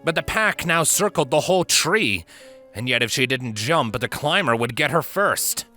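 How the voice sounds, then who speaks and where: A man reading a story as a measured, expressive narrator in a dramatic scripted style. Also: medium pitch. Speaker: fan fiction narrator. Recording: prominent music and effects behind voice. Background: background music, sound effects.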